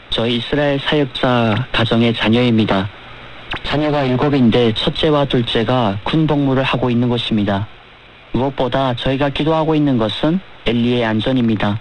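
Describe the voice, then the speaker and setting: Man on noisy shortwave radio. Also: medium pitch. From North Korea. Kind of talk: measured radio talk and letter reading.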